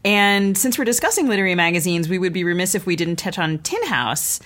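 A woman co-hosting a literary podcast, asking lively, upbeat questions. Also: fairly low pitch, brisk. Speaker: podcast co-host. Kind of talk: casual interview questions.

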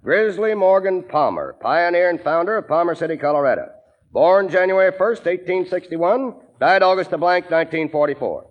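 An actor playing a fussy small-town funeral director in a folksy, businesslike way, in 1940s radio drama style. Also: male voice, sits high, lively intonation, moderate pace. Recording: dull vintage 1940s radio recording.

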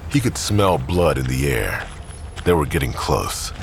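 A man narrating a crime drama in a grave, measured tone. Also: a bass voice, sing-song, creaky, a little hiss or hum. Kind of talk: scripted narration.

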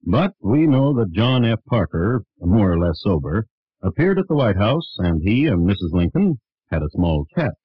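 A man narrating a scripted radio history drama with dry, wry, deliberate understatement. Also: fairly low pitch, big pitch swings, breathy edge, no room sound. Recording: dull vintage radio recording.

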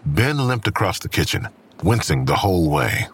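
A man's voice: deep voice, very animated, moderate pace, husky. A man reading scripted dramatic narration for an audio drama.

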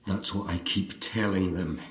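A man's voice: deep voice, steady tone, unhurried. An actor in an audio drama playing a bewildered astronaut in his thirties. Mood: weary, anxious. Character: bewildered young astronaut back from space. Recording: echoey room with music.

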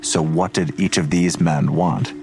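A man's voice: sits low, fairly even intonation, unhurried, warm tone, faint music behind. An actor playing a skeptical police detective in an audio drama, terse and probing in his questions. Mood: challenging, doubtful. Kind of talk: naturalistic audio drama dialogue.

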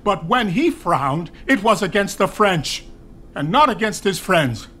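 A man's voice: high voice, very animated, measured pace, bright, forceful tone, a little hiss or hum, full character voice. An actor playing a grieving old duke who pleads earnestly with his nephew the king, in a classical stage style. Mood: grieved.

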